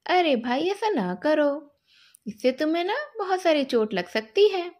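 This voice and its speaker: A woman's voice: sits high, big pitch swings, unhurried, a little mellow, voice pushed up. A woman voicing the elder chief of a monkey troop in a children's storybook tale, cautioning with concern. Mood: concerned warning. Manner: admonishing, concerned.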